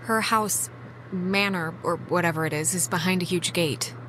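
A woman's voice: lowish voice, moderate pace, a bit bright, slight background noise, lightly acted, poor audio. A young American woman playing a wry, caring, level-headed teenage girl in a video game. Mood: explaining. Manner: wry, conversational. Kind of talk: naturalistic video game dialogue. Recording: music behind the voice.

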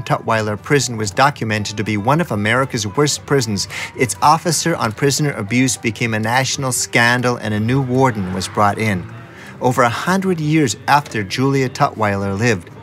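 A man delivers scripted documentary narration as the reporter. His pitch sits in a middle range, and there is some light music in the recording. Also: fairly bright tone.

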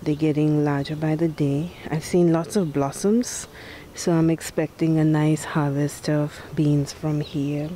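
Low, slow-talking woman touring her garden. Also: slight background noise. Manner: relaxed, unhurried, with fillers and soft asides. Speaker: home gardener giving a garden tour. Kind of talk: casual garden tour narration. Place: outdoor backyard vegetable garden.

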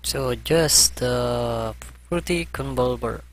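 Slow halting guy walking thru audio plugin settings, faint hiss. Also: medium pitch. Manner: halting, hesitant commentary as he clicks through settings. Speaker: music production tutor. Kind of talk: screen-recorded software tutorial.

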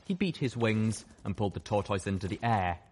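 A man with a fairly low voice narrates a scripted story in the manner of an audiobook. There is some faint noise behind his voice. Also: animated, fairly quick, darkish tone.

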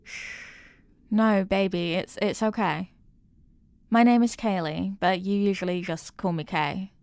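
A woman's voice: mid-range voice, unhurried, a little mellow, clean tone, dry recording, close to an everyday voice. A woman playing a doting, intensely possessive girlfriend in an intimate ASMR roleplay, cooing tenderly with pet names. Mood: tender, soothing.

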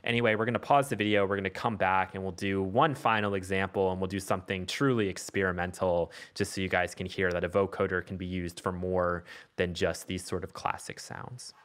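A man's voice: low voice, level tone, fairly quick, fairly bright tone. A man hosting a casual music production tutorial, thinking aloud informally as he demonstrates. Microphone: voice-over on a screen recording. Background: electronic music and loops.

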